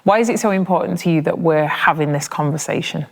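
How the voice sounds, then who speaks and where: A woman hosting a podcast interview, asking questions and encouraging her guests with lots of soft agreement sounds. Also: deep voice, fast talker. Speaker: podcast host.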